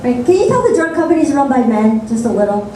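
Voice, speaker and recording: A woman doing a stand-up comedy set on a club stage, with deadpan, sarcastic asides. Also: high voice, quick pace, a little hiss or hum. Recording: echoey club sound from a distant mic.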